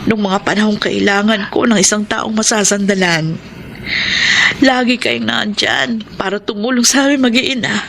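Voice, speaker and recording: A woman's voice: medium pitch, fairly even intonation, normal speed, creaky, noisy background, close to an everyday voice. A woman playing a grateful, devoted single mother in a Filipino radio drama, speaking earnestly and respectfully. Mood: insistent, grateful. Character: grateful, devoted single mother telling her life story. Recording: music bed behind voice.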